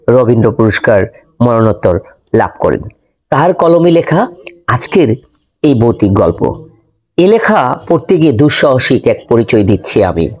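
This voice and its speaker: A man's voice: medium pitch, a little mellow. A man reading scripted narration as the host of an audio story.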